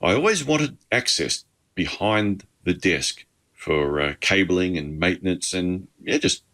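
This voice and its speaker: A man with an Australian accent narrates an informal how-to tour. His voice is very deep yet has a bright, forward edge. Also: expressive, unhurried. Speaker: amateur radio hobbyist.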